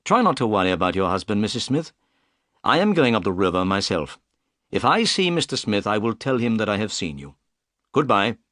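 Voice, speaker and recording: A man's voice: on the low side, big pitch swings, a little fast, fairly bright tone, dry recording, clean studio sound. A man voicing a composed, courteous, shrewd detective in an audiobook story, polite and confident. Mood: courteous, concerned.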